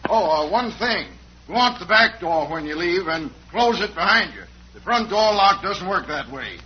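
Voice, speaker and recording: An actor playing a cocky, bossy crook who poses as a folksy rancher in an old-time western radio drama. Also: male voice, high-pitched, animated, moderate pace. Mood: falsely friendly. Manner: folksy, smooth-talking, cocky. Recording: noisy, muffled old radio recording.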